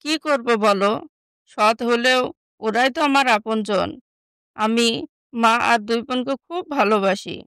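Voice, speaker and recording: A woman playing a neglected, soft-hearted young stepsister in an animated moral story, meek and pleading. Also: a little high, measured pace, long pauses, smooth, dry recording. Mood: sad, submissive. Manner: pleading, submissive.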